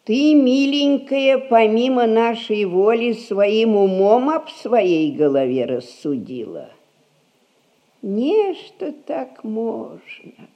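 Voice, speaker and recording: An actress playing a stern, rich old grandmother who rules a merchant household, speaking in measured, folksy old-fashioned phrases in a stage comedy. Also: a little high, very animated, warm tone, lo-fi sound, full character voice. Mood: imperious, unhurried. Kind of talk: Russian stage comedy recorded as radio play.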